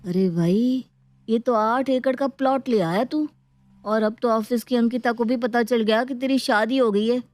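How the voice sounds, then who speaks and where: A woman's voice: on the high side, measured pace, a little mellow, dry recording, lightly acted. A woman voicing a cheeky, chummy young male friend who teases casually in a domestic story. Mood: cheeky teasing. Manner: teasing, chummy.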